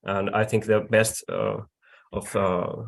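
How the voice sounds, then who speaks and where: Slow-talking Finnish-accented man, low voice. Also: creaky. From Finland. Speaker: accordion artisan, presenter. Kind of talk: explanatory webinar lecture.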